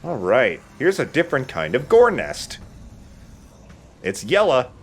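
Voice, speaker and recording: Animated man doing playful game commentary. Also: mid-range voice, unhurried, a little hiss or hum. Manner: playful, self-deprecating, swears casually. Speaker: let's play gamer and commentator. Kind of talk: casual gameplay commentary with banter.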